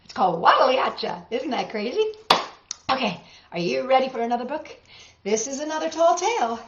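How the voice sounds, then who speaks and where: A woman reading picture books aloud to children, expressive and exclaiming, lingering on words and adding chatty asides. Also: high-pitched, brisk, heavy background noise. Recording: dull, echoey room recording.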